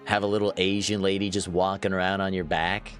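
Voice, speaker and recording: A man's voice: deep voice, flat delivery, fairly quick, a bit bright, soft background music. A man in his thirties chatting casually while livestreaming a game, laughing often and answering his viewers. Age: 30s. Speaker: gaming livestreamer. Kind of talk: casual live gameplay chat.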